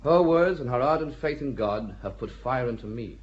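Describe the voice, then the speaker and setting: A man playing a calm, persuasive gentleman officer. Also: mid-range voice, normal speed, muffled recording, natural voice. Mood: steady. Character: calm, loyal gentleman officer backing the girl. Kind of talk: radio drama acting.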